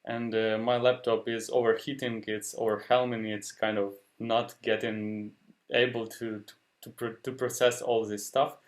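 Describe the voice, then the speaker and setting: A man with a Ukrainian accent, a Ukrainian native speaker, talking in a halting way and often stopping to search for words. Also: fairly low pitch, flat delivery. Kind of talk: casual discussion.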